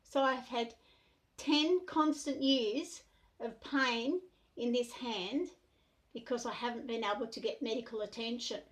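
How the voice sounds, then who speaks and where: A middle-aged Australian woman speaking to the camera in an earnest, deliberate way, in short measured phrases. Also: fairly high pitch. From New South Wales, Australia. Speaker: self-represented complainant. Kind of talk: monologue to camera.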